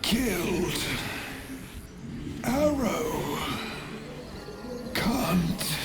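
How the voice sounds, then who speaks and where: An actor playing a reanimated corpse in a video game, answering in halting, laboured fragments with a lifeless manner. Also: male voice, sits high, very animated, faint music behind, heavily acted. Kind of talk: video game character acting.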